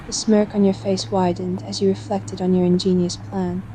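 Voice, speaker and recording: Casting: female narrator, mellow, level delivery, scripted roleplay fiction, faint noise behind. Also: medium pitch, level tone, normal speed. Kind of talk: scripted second-person story narration.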